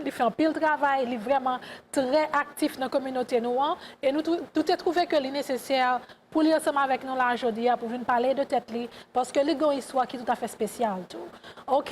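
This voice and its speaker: High-voiced woman hosting a talk show. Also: a little mellow, slight background noise. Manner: halting, restarts, switches between French and English. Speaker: host.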